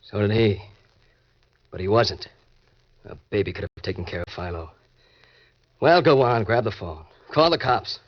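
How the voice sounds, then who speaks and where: An actor playing a cynical, murderous schemer with a clipped, slangy, hard-boiled manner in an old-time radio crime drama. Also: male voice, fairly low pitch, big pitch swings, normal speed, long pauses. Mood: edgy, blunt. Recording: dull vintage radio recording, music.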